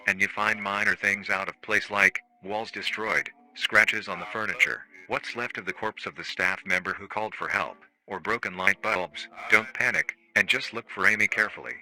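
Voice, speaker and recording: A man playing a formal, matter-of-fact research-facility narrator on an analog horror staff training tape, calmly chilling and euphemistic. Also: lowish voice, low-quality recording.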